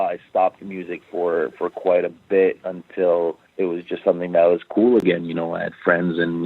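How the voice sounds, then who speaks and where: Polish-born musician guest, low voice, chatty and loose, phoned in. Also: male voice, no echo. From Poland. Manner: rambling, many "you know" fillers. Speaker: bassist and backing vocalist, guest. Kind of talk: casual interview answers. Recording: tinny phone line, dry.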